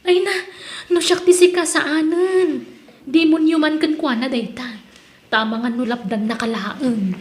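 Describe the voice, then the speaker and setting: A boastful Ilocano woman in a radio drama, breezy and insistent as she pushes a friend along. Her voice is very high and rings with echo. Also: steady tone, measured pace. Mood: pushy. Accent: Ilocano Filipino. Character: Rosalyn, pushy boastful friend luring into investment scheme. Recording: roomy, echoing studio drama sound.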